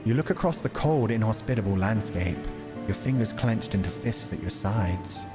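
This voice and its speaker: A man's voice: fairly low pitch, lively intonation, moderate pace, music in the background. A man hosting a game stream, reading visual novel narration aloud and chatting casually about it. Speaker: livestream host playing a visual novel.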